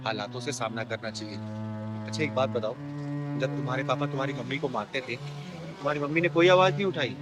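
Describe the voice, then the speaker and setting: A man's voice: mid-range voice, fairly quick, close to an everyday voice. Man as a take-charge kinnar elder sister, music behind. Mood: reassuring, probing. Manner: direct, questioning, reassuring. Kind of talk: dramatic short-film acting.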